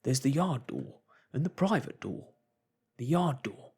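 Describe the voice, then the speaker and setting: A man voicing a burly, flustered innkeeper who speaks in a rough, agitated way. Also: on the low side, lively intonation, normal speed, darkish tone, heavily acted.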